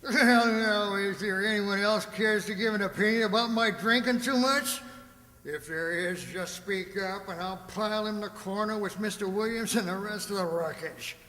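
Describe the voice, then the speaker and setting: Guy doing a loudmouth old west saloon bully for radio drama, high voice, echoey hall, folksy and cocky. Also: moderate pace. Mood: belligerent, boastful. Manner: blustering, swaggering, folksy rough speech. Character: belligerent hard-drinking frontier tavern bully, boastful and coarse. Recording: echoey hall, reverberant room.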